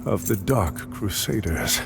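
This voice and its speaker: A solemn, pious crusader mentor for a fantasy game. He speaks slowly and grandly in archaic, formal phrases, with a lively rise and fall. Music plays clearly behind him. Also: lowish voice, heavily acted. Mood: solemn, reverent. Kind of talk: dramatic fantasy game acting.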